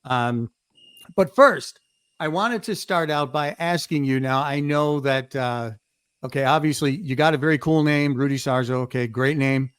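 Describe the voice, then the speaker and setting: A man with a smooth, clear voice, slightly higher than average, works as a podcast host. He chats casually in an interview style, with a close, dry sound. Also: normal speed, no room sound.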